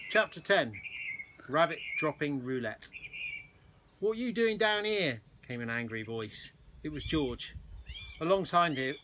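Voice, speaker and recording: Man reading a storybook, birdsong behind. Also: mid-range voice. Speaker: primary school teacher reading aloud. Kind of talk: children's storybook narration. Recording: noisy outdoor recording with birdsong. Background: birds chirping.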